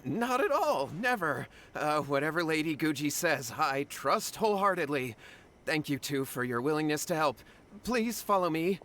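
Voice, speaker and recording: A man's voice: fairly high pitch, big pitch swings, moderate pace, fairly bright tone, slight background noise. An actor playing an anxious, earnest young man who stammers when pressed, in a video game. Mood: eager. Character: anxious young man pleading for his brother. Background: game background music.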